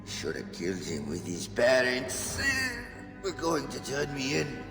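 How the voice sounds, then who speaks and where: A man playing a bitter, defiant dying criminal in a video game, halting and mocking. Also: mid-range voice, big pitch swings, creaky. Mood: bitter, fading. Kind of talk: dramatic video game acting. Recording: echoey hall, music behind. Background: game music.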